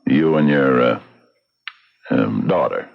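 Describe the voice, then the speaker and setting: A man's voice: very deep voice, slow pace, creaky, exaggerated voice. An actor playing a big, folksy frontier clerk and lawman in a radio drama, with plain country talk. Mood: affable, wry. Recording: dull lo-fi radio recording.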